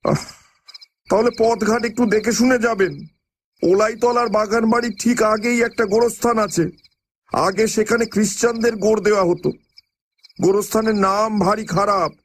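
A man playing an ominous, gossiping village station master in an audio drama, speaking hesitantly with many pauses and murmurs. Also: high voice, fairly even intonation. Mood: warning. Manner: halting, hesitant, full of pauses and murmured interjections. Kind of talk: Bengali audio drama acting.

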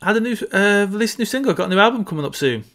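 A man's voice: high voice, expressive, very fast, a bit bright. A man in his thirties, co-hosting a casual film-review chat from home over a video call, chatty and wry, arguing his points. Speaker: podcast co-host. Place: home room.

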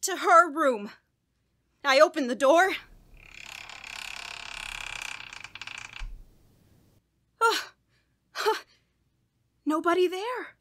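High-voiced, haunted, unraveling woman, played by an actress. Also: animated, sharp tone, exaggerated voice. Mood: hysterical, appalled. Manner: halting, repeating words, breaking into nervous laughs and gasps. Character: guilt-ridden, unraveling woman haunted by her dead mother-in-law. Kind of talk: dramatic first-person horror monologue in old-radio style.

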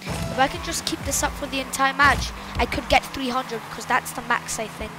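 Woman, high voice, loud and excitable gameplay commentator, game music underneath. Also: normal speed. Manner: loud, excitable, exclaims often.

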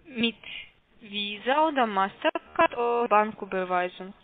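A woman call-centre caller with a foreign accent in non-native speech, giving halting, insistent instructions and repeating her questions over a muffled phone line. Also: mid-range voice, lively intonation. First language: not German. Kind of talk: scripted phone sales instructions.

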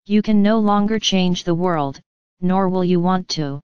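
A woman with a lowish, exceptionally smooth and clear voice reads a spiritual message in a measured, earnest way, speaking straight to the listener. The voice-over is dry and close, with a slightly dull, lo-fi sound. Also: dry recording. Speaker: narrator reading a channelled spiritual message. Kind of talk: scripted spiritual narration.

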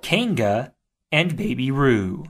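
A woman's voice: a bass voice, sing-song, slow. A woman announcer giving a scripted introduction to an audio drama. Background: loud plucked guitar music.

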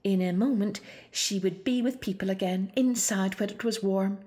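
A woman's voice: medium pitch, normal speed, mellow. Woman reading a children's story. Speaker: storybook reader. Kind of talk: expressive children's story narration.

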